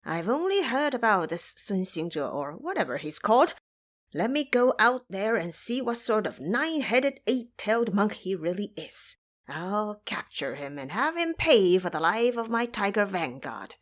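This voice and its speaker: A woman's voice: medium pitch, sing-song, measured pace. Woman acting a haughty, enraged old monster king. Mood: indignant, commanding. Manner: haughty, indignant exclamations. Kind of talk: audio drama storytelling.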